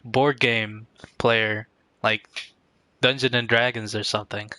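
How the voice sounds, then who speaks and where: A man's voice: lowish voice, level tone, takes it slowly, sharp tone. A man giving casual live-stream commentary on a game, rambling, repeating phrases and trailing off. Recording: game music behind. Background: game music.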